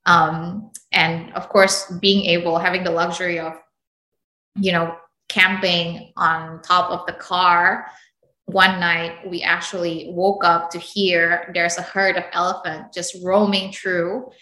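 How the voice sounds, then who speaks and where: A young adult woman from Indonesia, a podcast guest telling travel stories in an animated, laughing way. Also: lowish voice, slow, echoey room, poor audio. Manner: animated storytelling, frequent laughs. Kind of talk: casual interview chat.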